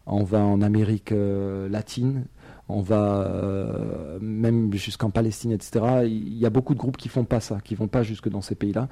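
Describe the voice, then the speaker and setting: A man's voice: on the low side, steady tone, normal speed, vocal fry, dark tone, no room sound. A French-raised man, a singer and musician, answering interview questions in a loose, hesitant way with many fillers and small laughs. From France. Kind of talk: casual radio interview.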